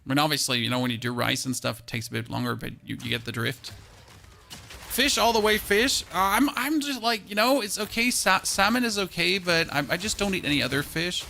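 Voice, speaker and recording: Man, game streamer, high-pitched voice, rambling casual chat, bright tense tone. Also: fairly quick, creaky, slight background noise. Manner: rambling, frequent word repeats and restarts. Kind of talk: casual gameplay chat with viewers.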